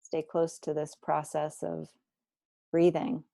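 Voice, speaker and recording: A woman guiding a body scan meditation, speaking slowly and deliberately with gentle instructions and long pauses. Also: low-pitched, monotone, gravelly, no room sound, clean studio sound. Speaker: meditation teacher.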